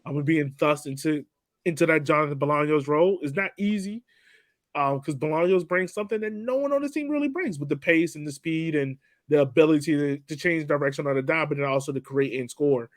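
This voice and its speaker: Higher-pitched man chatting about sport, close dry sound. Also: brisk, dry recording. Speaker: podcast co-host. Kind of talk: casual soccer post-game chat.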